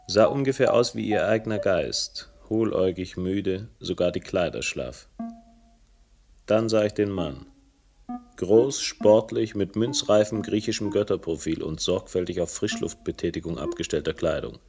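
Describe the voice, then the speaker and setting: An actor playing a wry first-person hero who narrates a German radio crime drama with dry irony. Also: male voice, sits low, animated, measured pace, vocal fry, over music, exaggerated voice. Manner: dry, ironic.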